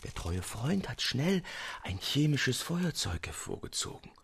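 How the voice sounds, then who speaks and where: An actor playing an eccentric storyteller in a radio drama, hushed and suspenseful, often slipping into a breathy whisper. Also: male voice, mid-range voice, sing-song, measured pace, a little hiss or hum, heavily acted. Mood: conspiratorial. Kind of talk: dramatic literary radio narration.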